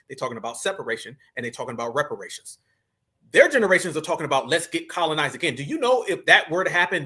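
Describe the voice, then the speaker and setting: A man with a high, bright, forceful voice delivers reaction commentary. He talks straight to his viewers, fired up and hammering his points home. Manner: fired-up, addresses audience directly as "fam" and "y'all". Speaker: online commentator.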